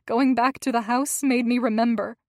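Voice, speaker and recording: A woman's voice: fairly high pitch, fairly even intonation, normal speed, a little mellow, dry recording, clean studio sound, lightly acted. A woman voicing a grieving young wife who pleads and breaks with emotion. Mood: fearful.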